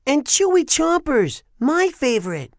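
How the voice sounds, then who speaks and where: A woman's voice: high voice, sing-song, a little slow, no room sound, studio quality, pitched above the performer's own voice, exaggerated voice. A woman voicing an eager, greedy little boy bear cub in a storybook, boyish and begging. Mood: whining. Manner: whining, begging.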